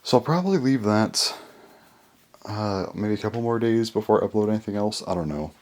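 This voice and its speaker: A young man with a hoarse, worn-out voice, chatting casually in a rambling way to his channel's viewers. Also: on the low side, animated, fairly quick, darkish tone. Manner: rambling, full of fillers and restarts. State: hoarse, voice mostly gone. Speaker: YouTuber. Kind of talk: casual vlog chat.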